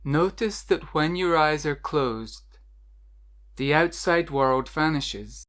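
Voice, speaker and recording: A meditation guide narrating a scripted guided meditation in a measured, instructional way with deliberate pauses. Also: medium pitch, a bit bright.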